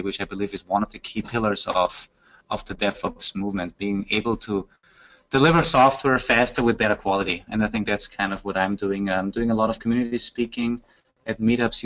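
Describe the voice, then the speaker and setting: A man's voice: lowish voice, fairly bright tone. Man, German speaker. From Austria. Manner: explanatory, speaks with frequent fillers. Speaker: guest, performance expert. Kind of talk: casual podcast chat. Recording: muffled lo-fi audio.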